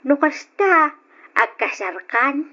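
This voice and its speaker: Baket nga ina, natadem ti timekna. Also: female voice, high voice, big pitch swings, takes it slowly, bright, forceful tone, exaggerated voice. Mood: friendly, teasing. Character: older village mother, friendly, teasing, animated wife. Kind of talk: old radio drama acting. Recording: muffled old radio drama recording.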